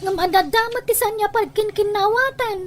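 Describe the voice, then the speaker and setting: A woman's voice: very high-pitched, moderate pace, a little hiss or hum. A woman with an Ilocano Filipino accent acting an aggrieved family member in an amateur audio drama. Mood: scolding.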